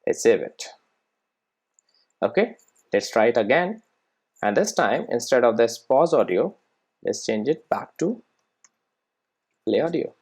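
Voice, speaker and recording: A man with a medium-pitched voice teaches in a screencast tutorial. His intonation is lively and moves up and down a lot. He explains step by step without a script, often tagging his phrases with short checking questions. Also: unhurried, long pauses, breathy edge. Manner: checks understanding with frequent tag questions. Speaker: programming tutorial instructor.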